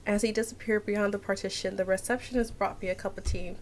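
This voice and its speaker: A woman's voice: mid-range voice, steady tone, fast talker, slight background noise. A young woman chatting casually and reading a visual novel aloud as a streamer. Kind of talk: casual let's-play chat and dramatic read-aloud narration.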